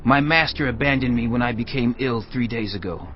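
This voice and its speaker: A man's voice: medium pitch, a little fast, natural voice. A man playing an abandoned Egyptian slave, wary and pleading, in an audio Bible drama. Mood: weary, confessing. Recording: dull audio with music behind.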